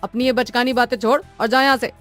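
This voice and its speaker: Woman voicing a bold, irritable male traveller, higher-pitched. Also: steady tone, soft background music, close to an everyday voice. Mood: annoyed, dismissive. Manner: brisk, argumentative, challenging. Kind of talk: cartoon story dialogue.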